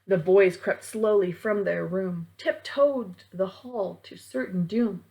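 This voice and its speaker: A woman reading a rhyming picture book aloud to children in a theatrical, deliberate way, as a library storytime reader. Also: medium pitch, creaky, mellow, rough recording.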